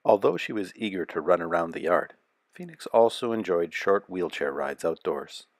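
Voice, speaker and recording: A man narrating a children's picture book aloud as a storyteller, with a measured, expressive delivery. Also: deep voice, no echo, studio quality.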